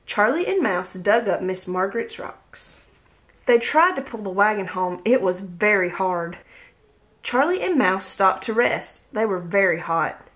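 Woman, 30s, home read-aloud host; expressive, animated storytelling voice, webcam at desk. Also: mid-range voice, measured pace. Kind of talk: reading a picture book aloud. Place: home room, indoors. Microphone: webcam at desk distance.

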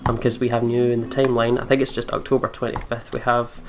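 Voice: mid-range voice, flat delivery, fast talker, faint music behind. A let's play host chatting casually while commenting on a story game.

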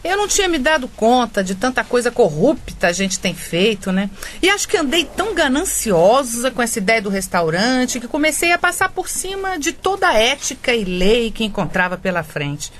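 A woman's voice: fairly high pitch, big pitch swings, moderate pace, few pauses, a little hiss or hum. An actress playing an ambitious wife who coaxes her husband in a radio drama. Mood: remorseful. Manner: coaxing, wheedling. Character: scheming ambitious wife and cook.

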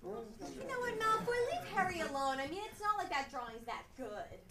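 A very high-voiced, bossy schoolgirl witch, played by a woman, exasperated in a stage comedy. She is far from the mic in a live theatre with a loud crowd. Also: big pitch swings, moderate pace. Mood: scolding.

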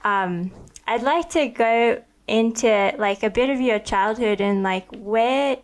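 A young woman hosting a podcast interview, talking in an enthusiastic and thoughtful way with many likes, into a boom-arm mic in a home studio. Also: takes it slowly. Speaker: podcast host. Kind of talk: conversational interview. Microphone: studio mic on a boom arm with headphones.

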